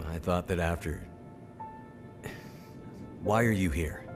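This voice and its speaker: A gruff bush pilot, low and guarded, who answers in short clipped sentences. The game cutscene has loud music running under his lines. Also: slow. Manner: terse, clipped, defensive. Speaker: game character.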